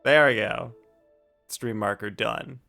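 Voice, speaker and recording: A man's voice: lowish voice, slow pace, creaky, pushed and bright, faint music behind. A man doing casual live commentary as he streams a video game, playful, joking and mock-argumentative.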